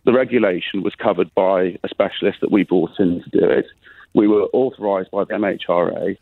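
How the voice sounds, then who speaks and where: A man's voice: sits low, steady tone, a little fast, vocal fry, no room sound. A British man calling a radio phone-in, insistently defending himself and pressing to finish his point. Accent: British English. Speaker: caller. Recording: tinny phone line.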